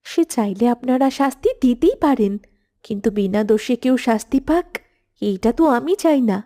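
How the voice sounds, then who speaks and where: A woman's voice: on the high side, animated, normal speed, warm tone, natural voice. A woman voicing an earnest, bold young minister's daughter in a cartoon, respectful but firm.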